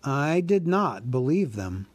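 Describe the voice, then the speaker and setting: A man voicing a small, clever male hobbit who speaks politely and flatteringly in riddles. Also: medium pitch, slow pace, pitched above the performer's own voice.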